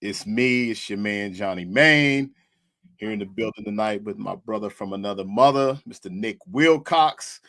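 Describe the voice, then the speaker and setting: A young man with a hoarse voice, hosting a casual sports chat as a loud, slangy hype-man. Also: lowish voice, moderate pace, dry recording, studio quality. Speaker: host.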